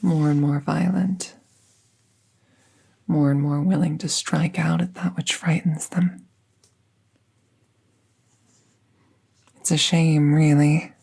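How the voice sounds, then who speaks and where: Woman as calm fantasy veterinarian for roleplay audio: soft, mellow, creaky low voice, reassuring and unhurried. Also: full character voice. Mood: measured, regretful. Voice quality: soft, breathy, half-whispered. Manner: slow, hushed, soothing, reassuring. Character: gentle, calm female magical veterinarian treating a wounded werewolf.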